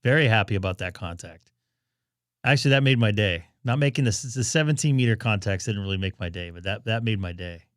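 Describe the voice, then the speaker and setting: A man hosting a ham radio live stream, chatting and thinking aloud as he operates, his voice going hoarse. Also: on the low side, lively intonation, a little fast, no echo, clean studio sound. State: losing his voice.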